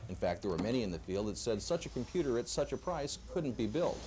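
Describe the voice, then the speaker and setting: A man's voice: medium pitch, very animated, quick pace, darkish tone, slight background noise. A man narrating a scripted TV news report on an archival 1980s broadcast.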